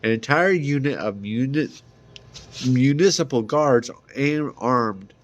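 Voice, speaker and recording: A man reading a fantasy novel aloud as an amateur, halting at times, with small stumbles and repeated words. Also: mid-range voice, animated, muffled recording. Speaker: amateur reader.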